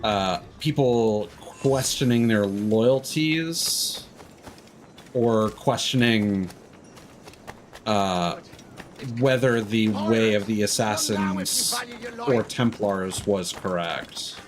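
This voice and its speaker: A man giving casual livestream game commentary, musing in a halting way and often trailing off mid-thought. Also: lowish voice, a little hiss or hum. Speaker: game streamer. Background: game music.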